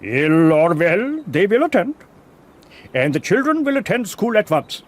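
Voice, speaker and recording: An actor playing a genial, proud older general in a radio drama, chuckling and grandly courteous. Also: male voice, high voice, very animated, unhurried. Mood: insistent.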